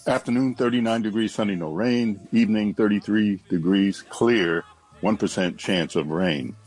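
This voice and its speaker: A man hosting a morning radio show and reading announcements in a steady announcer's manner. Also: lowish voice, moderate pace, husky, soft background music. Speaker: radio host. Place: radio studio.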